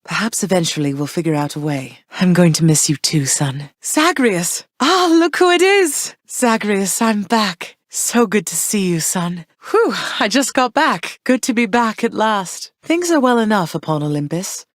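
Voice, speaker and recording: An actress playing a warm yet firm, gently wry mother goddess in a video game, speaking in measured, formal, old-fashioned phrases. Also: mid-range voice, big pitch swings, no echo, studio quality. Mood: tender, conflicted, then glad, relieved.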